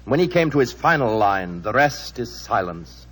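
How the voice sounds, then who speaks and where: An actor playing a vain young British stage actor in an old radio drama, pompous and theatrically declamatory. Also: male voice, mid-range voice, big pitch swings, moderate pace, a bit bright. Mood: scornful, declamatory.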